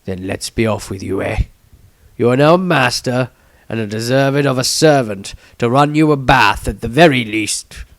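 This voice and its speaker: A man voicing an old male wizard patriarch in a storybook, formal, deliberate and sympathetic. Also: mid-range voice, dry recording, lightly acted. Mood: approving.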